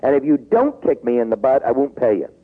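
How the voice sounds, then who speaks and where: A man in his sixties talking in a taped interview, acting out quoted pleas and dropping low when he turns reflective. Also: very animated, fairly quick. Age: 60s. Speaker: business author guest. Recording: tinny phone-like tape.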